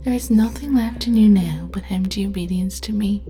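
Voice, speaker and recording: A woman in an ASMR hypnosis roleplay, speaking slowly and softly in a commanding tone, often slipping into a whisper. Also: mid-range voice, soft background music. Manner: slow, soft, partly whispered, commanding.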